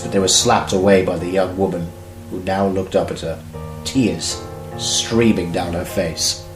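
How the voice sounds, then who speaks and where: A man's voice: sits low, roomy sound, rough recording. A man reading scripted story narration for an audio drama. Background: music.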